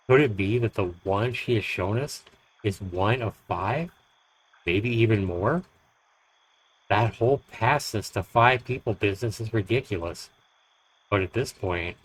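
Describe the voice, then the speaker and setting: Man, lowish voice, dramatic story narration, deliberate expressive phrasing, visual novel read-aloud. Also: low-quality recording.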